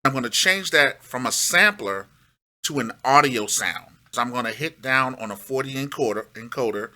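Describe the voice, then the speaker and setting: A man's voice: medium pitch, animated, normal speed, pushed and bright. A middle-aged man giving a casual, step-by-step music production tutorial, emphatic and repeating his key phrases.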